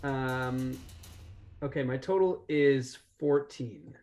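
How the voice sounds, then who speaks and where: A man's voice: mid-range voice, slow pace, a little mellow, soft background music. A man playing a tabletop roleplaying game, chatting casually and hesitantly as he thinks aloud.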